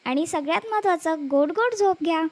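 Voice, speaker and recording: A woman's voice: very high voice, brisk. A woman storyteller narrating a scripted children's story, speaking expressively to young listeners.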